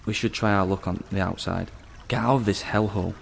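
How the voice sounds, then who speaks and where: A man's voice: deep voice, fairly even intonation, brisk, gravelly, a little hiss or hum. An actor playing a restless, caring teenage boy in a naturalistic audio drama.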